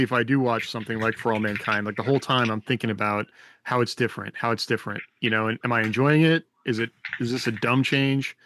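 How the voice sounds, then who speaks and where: A man's voice: medium pitch, a bit bright, dry recording. A middle-aged man co-hosting a podcast, chatting casually and thinking aloud with questions. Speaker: podcast co-host. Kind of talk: casual panel chat about writing fiction.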